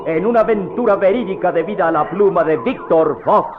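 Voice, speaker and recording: A man announcing the opening of a vintage radio serial, in an emphatic, drawn-out way. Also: high voice, background noise. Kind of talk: dramatic radio serial introduction. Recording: tinny old radio broadcast.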